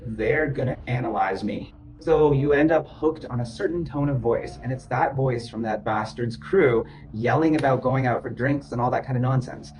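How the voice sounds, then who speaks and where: A man's voice: mid-range voice, darkish tone, slight background noise, poor audio. A man speaking as a therapist in a therapy session, insistent and repetitive, often checking whether his listeners understand. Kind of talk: group therapy session talk.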